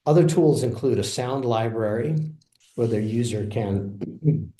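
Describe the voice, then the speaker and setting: A man narrating a screen-share walkthrough of software, explaining it steadily and correcting himself now and then. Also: mid-range voice, measured pace, echoey room, poor audio. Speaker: product demo presenter.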